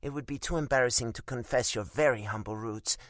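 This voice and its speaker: A man's voice: mid-range voice, a little fast, fairly bright tone, no echo, clean studio sound, close to an everyday voice. A male audiobook narrator voicing a feisty, blunt young woman who scolds and teases like a little sister. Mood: teasing, blunt. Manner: scolding, teasing, frank.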